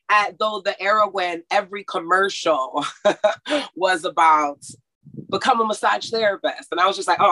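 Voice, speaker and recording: A woman's voice: on the low side, pushed and bright, low-quality recording. A woman who grew up in Mexico and went to school in Texas, a massage therapist chatting casually in an interview, laughing often and restarting sentences.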